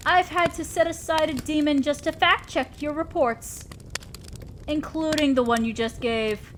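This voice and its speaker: A woman plays an imperious demon lord of hell with a distinctly high feminine voice. She is commanding and condescending, an exacting boss in an audio roleplay. Clear background noise and ambience sit behind her. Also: unhurried. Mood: stern, threatening. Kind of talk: scripted roleplay audio drama monologue.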